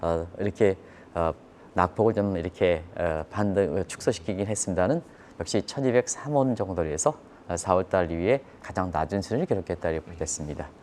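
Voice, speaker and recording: Male economic commentator, fairly low register, explains markets to viewers with repetition and rhetorical questions. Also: a little fast, darkish tone, slight background noise. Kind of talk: live financial news commentary.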